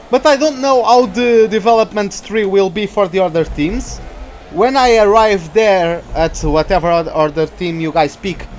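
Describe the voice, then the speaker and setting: A man's voice: high-pitched, slight background noise. A young man, chatting with viewers while streaming a racing game. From Portugal. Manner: chatty, reads out viewer names, reacts to the race. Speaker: gaming streamer.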